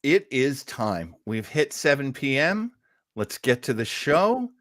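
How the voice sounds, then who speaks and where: A man's voice: medium pitch, big pitch swings, takes it slowly, fairly bright tone, no echo. A man hosting an online show, talking casually and explaining things as he thinks aloud, on a close microphone. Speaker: host of an online radio-history show. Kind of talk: casual discussion and commentary.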